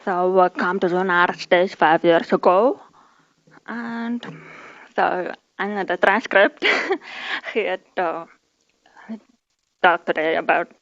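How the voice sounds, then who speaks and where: A woman whose first language is Kazakh and who speaks English with a Kazakh and Russian accent. She was born deaf and gives a conference talk in careful, halting speech with many pauses and restarts. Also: fairly low pitch, fairly bright tone, highs cut off. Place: conference hall.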